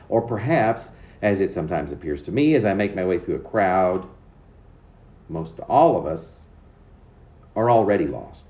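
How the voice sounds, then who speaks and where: A man with a low, very mellow voice reads his own poetry aloud. His pitch moves expressively across a wide range as he phrases each line deliberately. The recording is a little dull and lo-fi. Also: reverberant. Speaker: poet reading own work. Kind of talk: poetry reading.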